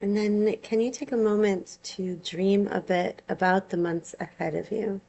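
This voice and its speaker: Slow, creaky-voiced woman guiding meditation. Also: mid-range voice, steady tone, dark tone. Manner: unhurried, soothing phrasing with long pauses. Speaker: yoga nidra teacher leading a guided relaxation. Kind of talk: guided meditation, gentle instruction.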